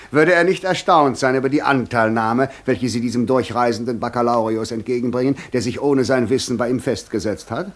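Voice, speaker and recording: A man's voice: mid-range voice, moderate pace, natural voice. Radio play: male actor as a courteous, sly devil, ironic, silky-mannered and mocking. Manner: sly, ironic, theatrically polite. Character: suave, mocking devil posing as a courteous gentleman. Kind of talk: classic German radio play acting.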